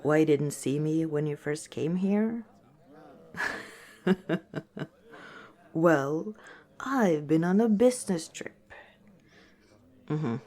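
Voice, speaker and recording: A woman playing a friendly, teasing orc tavern owner in a softly spoken ASMR roleplay, chatting gently and without hurry. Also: low-pitched, expressive, extremely slow, pauses often, full character voice. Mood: friendly, chatty.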